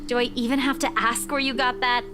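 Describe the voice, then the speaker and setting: A woman's voice: a little high, level tone, fast talker, natural voice. A woman playing a troubled, guarded young woman from a visual novel, with weary delivery. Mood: wary. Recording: loud guitar game music over voice.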